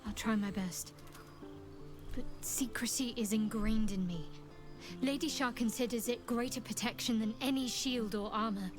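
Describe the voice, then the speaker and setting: An actress playing a guarded, secretive female devotee of a dark goddess in a fantasy game, speaking in a measured, dry way. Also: a little high, over music, lightly acted. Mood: solemn, guarded.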